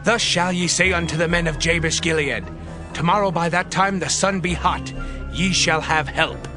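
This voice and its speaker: An army spokesman acted by a man proclaims in a scripture audio drama. His voice is fairly high and declamatory, over clearly heard music. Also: fairly even intonation, moderate pace, close to an everyday voice. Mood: assured. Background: background music.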